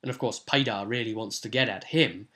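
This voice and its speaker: A man's voice: fairly low pitch, fairly quick, pushed and bright. A man hosting a tabletop role-play vlog, talking casually and off the cuff in a rambling, storytelling way. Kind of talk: casual unscripted game recap commentary.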